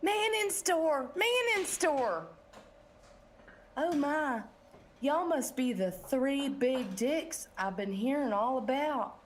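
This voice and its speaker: A woman's voice: high voice, animated, slow, slight background noise. A woman playing a flirty, ditzy, put-upon bakery bookkeeper in a comedic audio drama, coy in manner.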